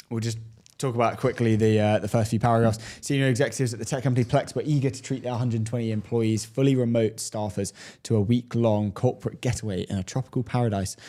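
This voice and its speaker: A man co-hosting a casual talk show in a dry, low-key way, also reading an ad and articles. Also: steady tone, fairly quick, mellow. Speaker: co-host. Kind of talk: casual chat.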